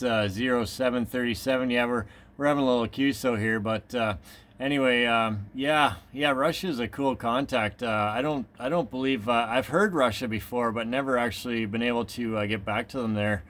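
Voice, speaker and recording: A man's voice: mid-range voice, moderate pace, fairly bright tone. A man chatting casually as a radio operator, in an affable, somewhat hesitant way. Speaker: amateur radio operator, video maker. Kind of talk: casual radio contact chat.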